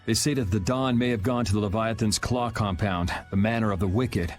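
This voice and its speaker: An actor playing a self-assured young adventurer in a video game, with a dry, offhand, decisive delivery. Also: male voice, on the low side, fairly quick, lightly acted. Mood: cool, decisive. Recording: music under the voice.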